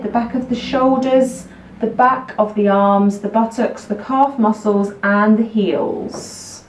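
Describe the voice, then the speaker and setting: A woman guides children through a relaxation meditation in a soothing, repetitive way. Her delivery is markedly slow, with gentle pauses between short phrases. The recording is echoey, with her voice a little away from the microphone. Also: medium pitch, muffled recording. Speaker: yoga nidra teacher. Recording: roomy echo, distant microphone.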